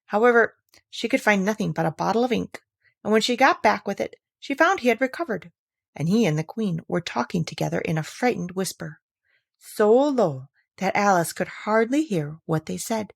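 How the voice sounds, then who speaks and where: A woman reading a children's story aloud as an expressive narrator. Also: mid-range voice, normal speed, vocal fry, no room sound, clean studio sound. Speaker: audiobook reader.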